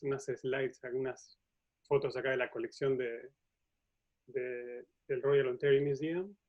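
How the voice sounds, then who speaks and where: Male lecturer, Uruguayan accent, mid-range voice, informal explanatory delivery, slightly dull recording. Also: medium pitch. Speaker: museum curator and professor giving a talk. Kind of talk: informal science lecture with slides. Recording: dull, lo-fi audio.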